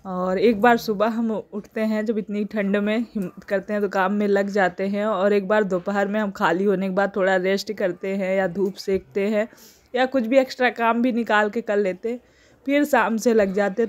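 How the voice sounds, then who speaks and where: A woman in her thirties from India, chatting casually through a family vlog, her throat sore. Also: medium pitch. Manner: chatty, homely, laughs often. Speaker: family vlogger. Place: home and market.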